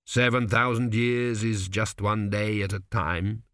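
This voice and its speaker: A man voicing a very old high priest in an audiobook, calm, grave and measured, with quiet authority. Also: no echo, studio quality. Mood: wistful. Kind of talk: audiobook character voice.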